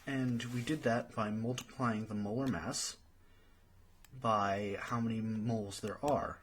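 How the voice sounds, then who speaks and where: A man's voice: lowish voice, steady tone, unhurried, a little hiss or hum, natural voice. A man playing a friendly young friend in an ASMR roleplay, patiently tutoring and thinking aloud in a hesitant way. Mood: encouraging, explaining. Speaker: study partner.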